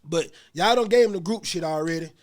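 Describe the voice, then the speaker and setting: A man's voice: fairly high pitch, a little fast. A man hosting a casual podcast interview, talking animatedly in profane street slang and asking after his guest's story. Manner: animated, profane street slang, frequent "you know what I'm saying". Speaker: podcast host.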